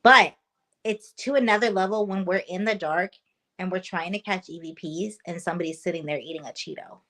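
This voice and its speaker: A woman's voice: lowish voice, fairly quick, fairly bright tone. A woman guest in a casual interview, a paranormal investigator who chats loosely, laughs often and says "like" a lot. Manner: chatty, laughing, lots of "like".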